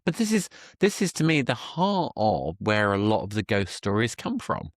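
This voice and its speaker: A man's voice: fairly low pitch, big pitch swings, moderate pace, dry recording, studio quality. A man hosting a conversational podcast interview, speaking in an enthusiastic, affirming manner. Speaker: podcast host interviewing a guest.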